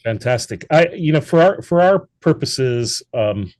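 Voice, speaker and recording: A man's voice: medium pitch, animated, unhurried, darkish tone, no room sound. An American man speaking as an interview guest in an articulate, reflective, conversational way. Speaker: interview guest, audio drama producer.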